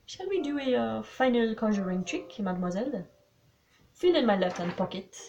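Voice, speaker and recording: A woman's voice: fairly high pitch, animated, normal speed, vocal fry, a little mellow, muffled recording, a little hiss or hum, voice pushed up. A woman voicing a fussy, smug older male Belgian detective, polite and dropping French words with some fillers.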